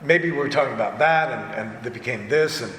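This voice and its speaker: A man chatting casually as a panelist, halting and self-deprecating in manner. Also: medium pitch, a bit bright. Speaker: panelist, audio drama director. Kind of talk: casual panel discussion chat. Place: convention panel room, indoors. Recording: echoey convention hall, noisy room ambience.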